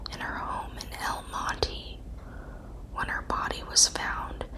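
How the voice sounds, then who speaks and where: A woman narrating a scripted true crime story in a breathy, unvoiced ASMR whisper, with measured, deliberate phrasing. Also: poor audio.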